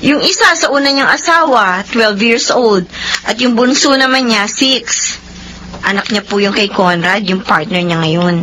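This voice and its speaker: A woman's voice: medium pitch, expressive, a little slow, bright, forceful tone, slight background noise, low-quality recording. An actress playing a modest, reluctant and dutiful young woman in a Filipino radio drama. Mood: casual, dutiful.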